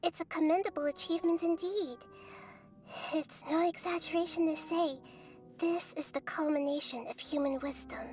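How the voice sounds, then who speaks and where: Gentle child goddess: very high, measured, thoughtful, game dialogue over faint music. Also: female voice. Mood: calm, analytical. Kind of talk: video game character acting.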